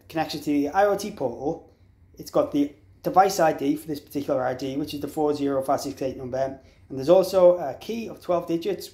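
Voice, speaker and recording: A man's voice: fairly high pitch, a little mellow. A man presenting an informal, unscripted product tutorial, explaining step by step and spelling out letters and digits. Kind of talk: instructional walkthrough, informal and unscripted. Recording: echoey, roomy sound.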